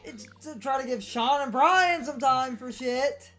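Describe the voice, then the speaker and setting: A young woman co-hosting a let's play with casual, animated gaming commentary. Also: high voice, unhurried. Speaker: let's play co-host and editor. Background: video game music.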